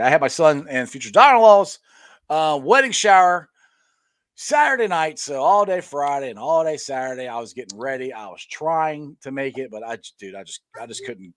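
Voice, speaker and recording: A middle-aged man hosting a casual sports chat, telling stories in a rambling, animated way with many restarts and laughs. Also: mid-range voice, no room sound. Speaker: podcast host.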